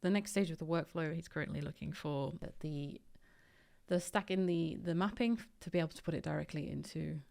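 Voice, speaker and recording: A woman's voice: sits low, a little fast, creaky, a little mellow. A woman co-hosting a workshop, informally explaining things to listeners in a chatty, light-hearted way. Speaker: workshop co-host.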